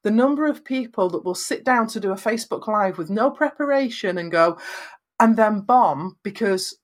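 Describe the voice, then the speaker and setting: A woman from northwest England with a Lancashire accent and flat vowels, giving encouraging advice about presenting on camera in an emphatic, conversational way. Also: mid-range voice, moderate pace. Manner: emphatic, encouraging, acts out little quotes. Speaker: guest giving video advice.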